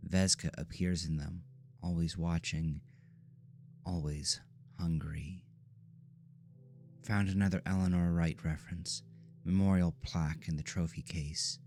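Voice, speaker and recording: Extremely low male voice, gravelly fry, slow measured pace, ominous horror narration, faint music underneath. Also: a little mellow. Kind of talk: scripted horror audio drama narration.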